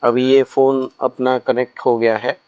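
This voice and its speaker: A man's voice: medium pitch, little pitch movement, measured pace, darkish tone, clear, resonant tone, no echo, lo-fi sound. A man gives a casual step-by-step product tutorial, explaining things and mixing in English phrases. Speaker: product reviewer and shop presenter.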